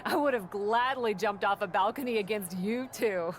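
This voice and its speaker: A woman plays a bold female wrestler in a video game cutscene. She talks in a casual, self-assured way at a medium pitch, eager and persuasive. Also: normal speed, a little hiss or hum, close to an everyday voice. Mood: casual, thoughtful.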